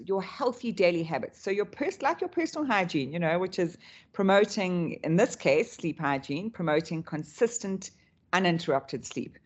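A woman's voice: lowish voice, dull audio. A woman coach, a guest on a podcast, explaining her subject in an enthusiastic, rambling way. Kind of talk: podcast interview.

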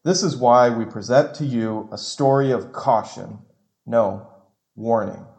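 An actor playing a solemn government enforcer who addresses recruits in a grave, formal, dramatic manner in an audio drama. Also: male voice, mid-range voice, unhurried, a little mellow, lightly acted. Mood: grave warning.

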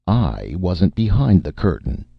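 A man's voice: deep voice, very animated, unhurried, vocal fry, husky, dry recording, lo-fi sound, heavily acted. A man voicing a cowardly male writer in a story, nervous and defensive, blustering with feeble bravado. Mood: shaky bravado.

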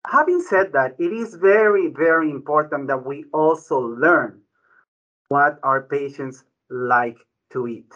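A man's voice: on the high side, lively intonation, a little slow, fairly bright tone. A man, a physician explaining health in conversation, often checking in with "right?". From Ecuador. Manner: frequent "right?" tag questions, restarts. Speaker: physician guest. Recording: dull lo-fi audio.

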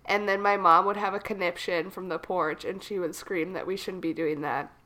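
A woman's voice: fairly low pitch, fairly even intonation, normal speed, pushed and bright. A young adult woman co-hosting a casual chat podcast, sounding a little froggy from a cold and telling rambling stories. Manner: chatty, rambling storyteller. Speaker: podcast co-host.

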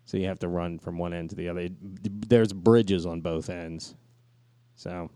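A man's voice: low-pitched, steady tone, a little slow, mellow, no room sound. A man co-hosting a podcast, telling slangy, joking stories in a casual, chatty way. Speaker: podcast co-host.

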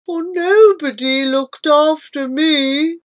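A woman voicing a starving giant anteater in a comic verse story, pleading and deliberate. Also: high-pitched, voice pushed up. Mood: plaintive, pleading. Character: starving giant anteater, plaintive, then greedy and menacing.

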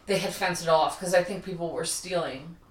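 A woman's voice: fairly low pitch, a little fast. A woman co-hosting a podcast, chatting casually in an informal, blunt way. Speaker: podcast co-host. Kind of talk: casual chat, reacting and adding recollections. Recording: roomy echo, distant from mic.

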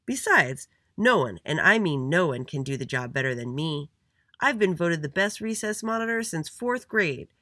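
A storyteller voicing a boastful, dramatic young schoolboy in a children's story, whiny and loud. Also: mid-range voice, expressive, moderate pace, no room sound, studio quality. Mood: cocky. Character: little Andy, boastful dramatic schoolboy recess monitor.

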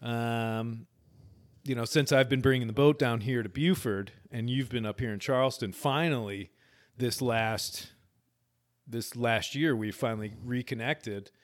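A man in his forties hosting a relaxed podcast chat, his voice sitting in an ordinary middle register. He talks earnestly and a little rambling, circling back on his sentences and laughing easily. The sound is dry and close, with no room around it. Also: medium pitch, a little slow, no echo. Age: 40s. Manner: earnest and rambling, with frequent restarts and easy laughs. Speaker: podcast host. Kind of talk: casual conversational interview.

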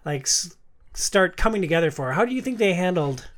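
A man's voice: high voice, expressive, brisk, a bit bright. A man hosting a casual movie podcast, opinionated and given to teasing banter as he puts questions to the panel. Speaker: podcast host.

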